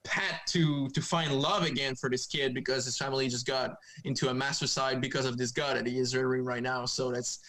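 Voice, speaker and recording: A young man from Quebec with a French-Canadian accent, speaking English as a second language, chatting haltingly in a remote podcast interview. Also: medium pitch, fairly even intonation, bright, forceful tone. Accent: Québécois French. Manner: halting, many fillers and restarts. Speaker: guest comic writer. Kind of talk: casual interview chat.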